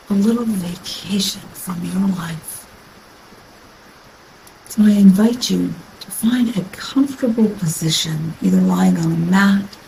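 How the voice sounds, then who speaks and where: A woman guiding a yoga nidra meditation in a soothing, unhurried manner with long pauses, her phrases trailing into breathiness. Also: fairly low pitch, vocal fry, dark tone, slight background noise, poor audio. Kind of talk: guided meditation.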